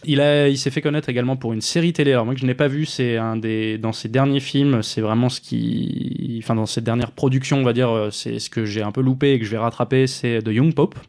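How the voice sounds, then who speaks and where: Podcast co-host, male, mid-pitched, hesitant delivery with frequent restarts. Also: fairly even intonation, brisk, few pauses, darkish tone. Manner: hesitant, many fillers and restarts. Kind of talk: casual film podcast chat.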